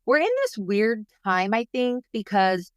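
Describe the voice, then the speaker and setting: Chatty, animated mom podcast host. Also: female voice, medium pitch, unhurried, clear, resonant tone, dry recording, studio quality. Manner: chatty, animated storytelling with slangy asides. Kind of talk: casual podcast chat.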